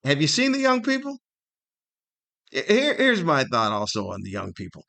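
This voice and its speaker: A man's voice: on the high side, huge pitch swings, normal speed, gravelly, pushed and bright. A middle-aged man hosting a solo podcast, giving humorous, opinionated commentary with a grumbling, incredulous manner full of rhetorical questions. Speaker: podcast host.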